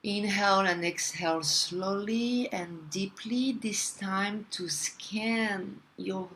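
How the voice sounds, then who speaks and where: A woman leading a guided meditation in a soothing, deliberate manner, leaving long pauses between phrases. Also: medium pitch, fairly bright tone, slight background noise, poor audio.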